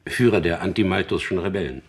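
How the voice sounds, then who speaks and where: A very deep, guarded, brooding man. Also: fast talker. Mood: guarded, bitter. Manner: brooding, reticent. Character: guarded, bitter middle-aged son of executed rebel. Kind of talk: radio drama acting.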